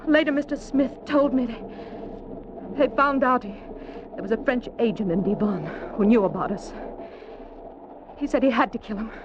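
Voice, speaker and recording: An actress playing a frightened, remorseful young woman with a halting, pleading manner in a 1950s radio drama. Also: fairly high pitch, natural voice. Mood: nervous, evasive. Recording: muffled, noisy 1950s radio recording.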